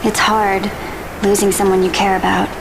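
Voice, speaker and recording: An actress voicing a gentle, wistful young woman adventurer in a video game, speaking softly and reflectively. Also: mid-range voice, flat delivery, normal speed, lightly acted. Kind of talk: dramatic video game voice acting. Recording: clear noisy ambience behind voice.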